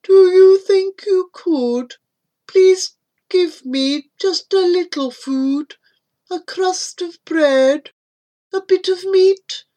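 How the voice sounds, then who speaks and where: A woman voicing a starving giant anteater in a comic verse story, pleading and deliberate. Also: very high voice, warm tone, voice pushed up, full character voice, rough recording. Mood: plaintive, pleading. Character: starving giant anteater, plaintive, then greedy and menacing.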